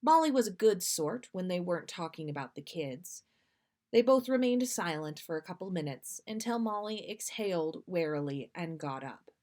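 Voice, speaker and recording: A woman with a slightly low voice reads fan fiction aloud as a podcast host. Her storytelling is expressive, suited to a livestream story time. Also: moderate pace.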